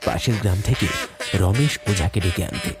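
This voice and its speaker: A man's voice: fairly low pitch, normal speed. A man narrating a horror story in a dramatic, scripted storytelling style. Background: loud music.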